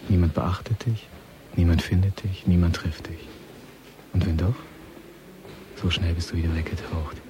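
An actor playing a tense, cynical gangster in a radio drama monologue, muttering in short clipped phrases that often sink toward a whisper. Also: male voice, low-pitched, fairly even intonation, normal speed, long pauses, darkish tone, slight background noise, natural voice. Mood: cynical, watchful. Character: tense, cynical male Moscow gangster watching a handover. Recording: studio radio play with prominent music. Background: music.

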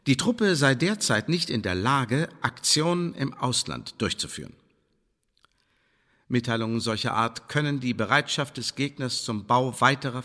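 An actor playing a shrewd Elizabethan spymaster in a radio play, instructing an agent in a formal, emphatic manner. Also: male voice, medium pitch, a bit bright. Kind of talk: German radio play acting. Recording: long reverberant hall echo.

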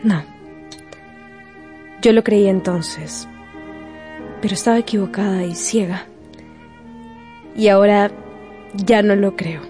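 An actress playing an earnest, candid young woman in love in a Spanish-language radio drama, with deliberate delivery. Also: medium pitch, music in the background, close to an everyday voice. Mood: tender, curious.

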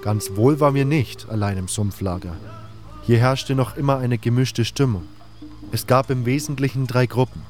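A man's voice: medium pitch, moderate pace, a little mellow. A man reading scripted first-person narration for a fantasy audio drama. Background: music.

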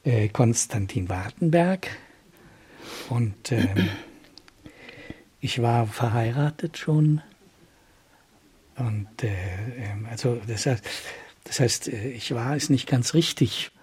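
Older gentleman, earnest and circumspect, very breathy husky, mellow voice, radio drama. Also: mid-range voice, expressive, exaggerated voice. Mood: earnest, troubled. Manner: halting, circumspect, formal. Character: earnest, troubled older gentleman seeking companionship. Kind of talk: improvised conversational radio drama.

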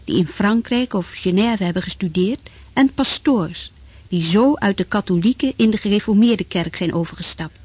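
An actress in a period radio play, speaking in an emphatic, lecturing way. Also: female voice, medium pitch, lively intonation, normal speed, a little mellow, breathy edge, dry recording. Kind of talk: radio drama acting.

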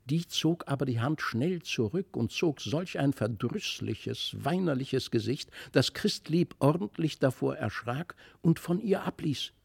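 A man narrating a literary fairy tale from a script, with expressive, deliberate storytelling. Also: mid-range voice, creaky, breathy edge. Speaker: audiobook narrator.

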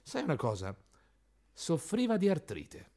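A man's voice: a little high, wildly swooping pitch, unhurried, dark tone, husky, heavily acted. A man acting in an absurdist radio play as an inquisitive, literal-minded tea stall man, questioning and repetitive. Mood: puzzled, inquisitive. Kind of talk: absurdist radio drama dialogue.

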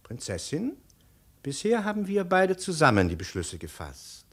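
An actor playing an ambitious, calculating imperial chancellor who fawns and insinuates in measured speech, in 1950s radio drama style. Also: male voice, fairly high pitch, huge pitch swings, breathy edge, exaggerated voice. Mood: smug, conspiratorial. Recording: 1950s radio studio recording.

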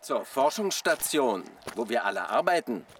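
An actor playing a brisk, commanding engineer in a German radio drama. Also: male voice, medium pitch, sing-song, fairly bright tone, slight background noise.

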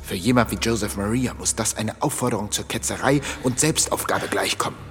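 In a reverberant hall with faint music, a man acts a deep-voiced clergyman, the trusted confidant. He is measured and soothing, a careful counsellor in a radio play. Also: natural voice. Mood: cautioning. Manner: measured, soothing, hushed at times. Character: cautious, calming clergyman, the bishop's loyal male confidant. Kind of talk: German radio drama acting.

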